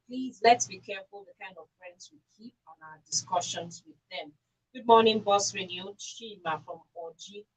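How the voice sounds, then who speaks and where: A woman's voice: mid-range voice, husky, low-quality recording. A Nigerian woman presents a live call-in programme on the radio. She speaks as the host, moving between guests, callers and listener messages in an ordinary mid-range voice.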